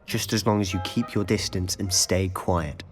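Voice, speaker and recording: A man's voice: low-pitched, steady tone, normal speed, soft background music, lightly acted. A man voicing a confident, sly teenage wizard in a video game, with a British accent and a wry, conspiratorial manner. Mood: confident, instructing. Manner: conspiratorial, wry, self-assured. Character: confident, sly teenage schoolboy wizard, loyal rule-breaker.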